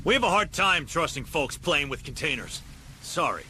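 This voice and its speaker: A man's voice: fairly high pitch, sing-song, moderate pace, heavy background noise. A man playing a brash, suspicious marine trooper in a video game.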